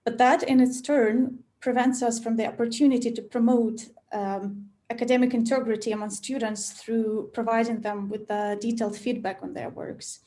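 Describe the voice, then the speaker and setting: A woman's voice: on the high side, fairly even intonation, normal speed, few pauses, warm tone. A woman hosting a webinar discussion, speaking hesitantly with many fillers. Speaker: webinar host.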